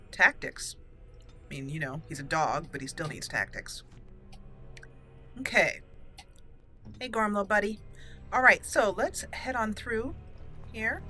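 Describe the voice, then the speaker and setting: Casting: female gaming host, bright forceful voice, playful, chatty, faint music underneath. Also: mid-range voice. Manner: playful, chatty, thinking aloud. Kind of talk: casual roleplay game commentary. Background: game music.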